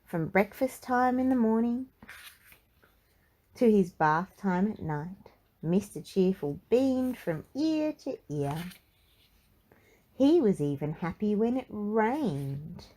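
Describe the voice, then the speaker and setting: A woman reading a children's storybook aloud in an expressive, measured narrating style. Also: mid-range voice. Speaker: children's book reader.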